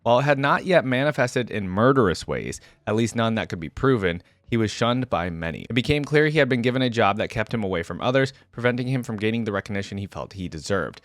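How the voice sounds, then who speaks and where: A man's voice: lowish voice, expressive, fast talker, few pauses, no room sound. A man hosting a reaction video, switching between reading lore aloud and chatty, informal commentary.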